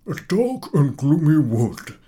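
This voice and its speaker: A man's voice: on the high side, sing-song, a little mellow, breathy edge, voice pushed up, full character voice. A man putting on a pompous old professor. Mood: coaxing. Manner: drawn-out, coaxing schoolmaster questioning. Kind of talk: audiobook character voice.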